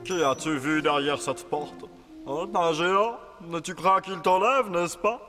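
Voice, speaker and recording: A man's voice: on the high side, measured pace, close to an everyday voice. A man voicing a stern, weary king and father in a children's fairy-tale cartoon, formal and commanding. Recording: long reverb, faint music behind.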